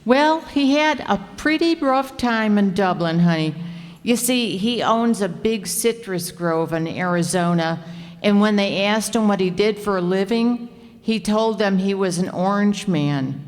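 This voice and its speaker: A woman's voice: medium pitch, lightly acted. A woman playing a scatterbrained, chatty, good-natured member of a household in an old-time radio comedy, with guileless delivery. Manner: unhurried, guileless storytelling. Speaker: actor in radio comedy play. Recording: echoey hall, live stage performance.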